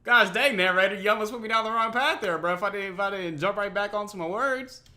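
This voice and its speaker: A man hosting a reaction video, chatting casually and slangily to viewers in an animated way. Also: sits high, quick pace. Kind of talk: casual excitable commentary.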